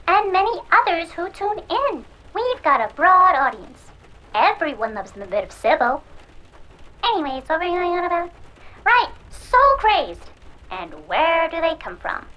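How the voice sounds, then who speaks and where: A woman's voice: very high voice, a bit bright, full character voice, low-quality recording. A woman playing a cocky, sardonic hacker who hosts a pirate radio show in a cyberpunk audio drama, talking theatrically to her audience. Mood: smug, playful.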